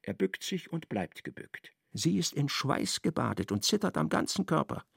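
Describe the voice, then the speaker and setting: A male narrator reading a literary radio drama with measured, expressive delivery. His gravelly voice moves lively through its range, and the recording is close and dry. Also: fairly high pitch, no room sound.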